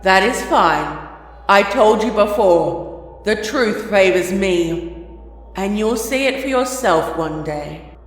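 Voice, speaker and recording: Casting: woman as a sneering royal shadow demon, slow and cold, drenched in cavernous reverb. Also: medium pitch, expressive. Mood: coolly confident. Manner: cool, regal, taunting. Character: regal shadow spirit of a sun princess, mocking and cold. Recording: heavy echoing reverb effect.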